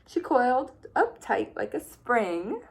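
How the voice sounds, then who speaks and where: A woman teacher reading a children's picture book aloud with expressive, playful storytelling. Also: a little high, slow, creaky.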